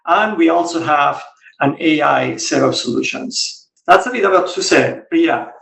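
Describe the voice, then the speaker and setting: A middle-aged man from Spain, a guest on a podcast interview, explaining things in a relaxed way with frequent restarts. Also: on the high side, unhurried, vocal fry, roomy sound, distant mic, low-quality recording. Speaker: guest, regional software executive.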